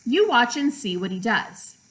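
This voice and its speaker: A woman's voice: on the high side, sing-song, measured pace, fairly bright tone. Lady reading as a no-nonsense farm dad from a kids storybook, firm and matter of fact. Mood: reassuring. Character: firm, practical farmer father in a storybook.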